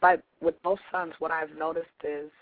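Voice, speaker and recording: A woman's voice: low-pitched, little pitch movement, moderate pace, dry recording. A woman from Michigan, a congested author guest with a head cold, talking in a friendly, forthright way. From Michigan, USA. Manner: friendly, forthright, easy laughter. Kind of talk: phone-in interview. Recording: tinny phone line.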